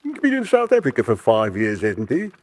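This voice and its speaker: An actor playing a deferential, nosy police constable, folksy and probing, in an old-fashioned radio drama. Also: male voice, mid-range voice, wildly swooping pitch, fairly quick, a little mellow.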